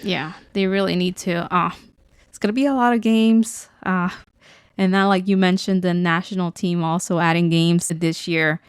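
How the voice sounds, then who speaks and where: A woman's voice: fairly low pitch, fairly even intonation, normal speed, clear, resonant tone, no room sound, clean studio sound. A woman from Guatemala co-hosting a podcast in casual, chatty, informal conversation. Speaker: podcast co-host. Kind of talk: casual unscripted podcast chat.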